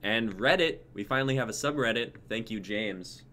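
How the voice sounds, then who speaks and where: A man's voice: lowish voice, moderate pace, pushed and bright. A young man hosting a livestream in casual sign-off chat. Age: young adult. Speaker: livestream host. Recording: background noise, livestream audio.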